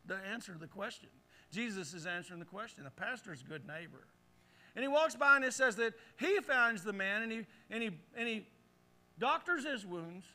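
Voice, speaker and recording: A middle-aged male pastor preaching a sermon in a church, leading the congregation in call-and-response with animated, forceful delivery. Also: high-pitched, brisk. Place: church sanctuary. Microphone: handheld or headset mic.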